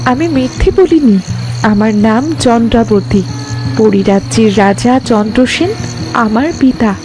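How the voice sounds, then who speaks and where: A woman plays a sincere cartoon fairy princess with a fairly high voice. She speaks with gentle dignity, polite and earnest, over clearly audible background music. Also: unhurried, close to an everyday voice. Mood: earnest, explaining. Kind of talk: animated fairy-tale dialogue.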